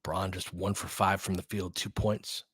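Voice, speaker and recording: A man's voice: deep voice, little pitch movement, moderate pace, fairly bright tone, husky, no echo, studio quality. A man giving informal live basketball play-by-play with bits of analysis, in clipped, slangy, conversational phrases as a partisan fan. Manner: partisan fan of one team.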